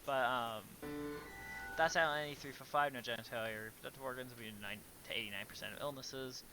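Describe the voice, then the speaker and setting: A man's voice: mid-range voice, fairly quick, over loud music, low-quality recording. A man hosting a livestream, chatting casually and bluntly with plenty of swearing. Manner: profane, blunt, exclamatory. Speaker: livestream host. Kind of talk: casual reaction stream chat with read-aloud passages.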